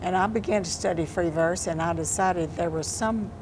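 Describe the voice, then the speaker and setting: An older woman poet answering interview questions in a soft-spoken, unhurried, plainspoken way. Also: lowish voice. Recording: noisy background.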